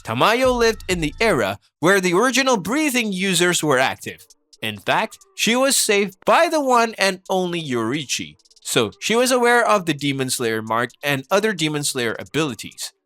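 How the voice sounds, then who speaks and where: A man delivers scripted commentary in a fairly high, forceful voice. His intonation swings widely as he leans into rhetorical questions with playful disbelief. It sounds like narration for an online video essay. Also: sing-song, normal speed, no echo. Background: background music.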